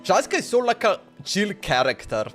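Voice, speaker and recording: A man giving casual live commentary while gaming, halting and repeating words as he goes. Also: high-pitched, very animated, a bit bright. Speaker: game livestreamer. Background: faint game music.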